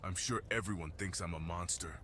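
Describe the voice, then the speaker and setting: A man playing a lonely, gentle and protective mountain guardian creature in a fantasy ASMR roleplay, speaking in a measured, solemn, reassuring way. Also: sits low, a little hiss or hum. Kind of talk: ASMR fantasy roleplay, slow intimate monologue.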